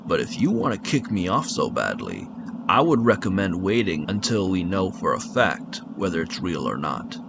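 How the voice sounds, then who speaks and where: Man playing a cold, gravelly, low-voiced expedition boss. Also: very animated, normal speed, background noise, exaggerated voice. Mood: confident, measured. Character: commanding, cold, secretive male expedition boss, ex-conqueror. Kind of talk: audio drama acting.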